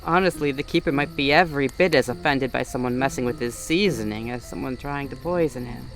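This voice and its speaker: A man playing a wary fae guard of the Autumn Court, stern but soft-hearted, in a roleplay audio drama spoken straight to the listener in an accusing, wry manner. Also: medium pitch, fairly quick, soft background music, close to an everyday voice, rough recording. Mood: sly, challenging.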